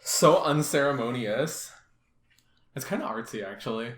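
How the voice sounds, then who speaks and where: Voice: medium pitch, animated, normal speed, background noise. A person chatting casually and giggling through commentary on a dramatic reading. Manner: giggly, talks with lots of laughter. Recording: roomy echo, music underneath.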